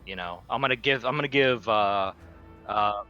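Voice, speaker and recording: A man's voice: on the low side, normal speed, sharp tone, dull audio, faint music behind. A man playing a tabletop role-playing game in a casual, jokey, sweary way.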